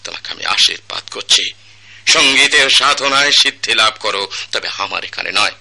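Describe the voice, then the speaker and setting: Stern older music master, forceful and low. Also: male voice, animated, moderate pace, gravelly, full character voice. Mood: bitter, solemn. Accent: Bangladeshi. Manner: solemn, commanding, declamatory. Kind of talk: radio drama acting.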